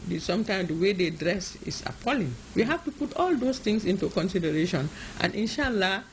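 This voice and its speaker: A woman from Gambia, a director, speaking earnestly and urging people on in a measured interview answer. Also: mid-range voice, animated, a little hiss or hum, rough recording. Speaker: women's affairs director.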